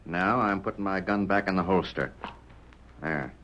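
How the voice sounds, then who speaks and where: Deep drawling outlaw, muffled 1938 radio with hiss. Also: male voice, normal speed, fairly bright tone. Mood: menacing. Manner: drawling, threatening. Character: menacing male outlaw gunman.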